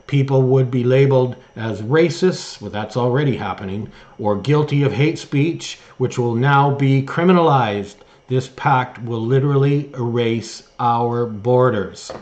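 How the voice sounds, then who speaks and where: Man giving halting, emphatic news commentary. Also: medium pitch, a little mellow, muffled recording. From Canada. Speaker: host of an online news commentary show. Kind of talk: opinion commentary, partly reading articles aloud.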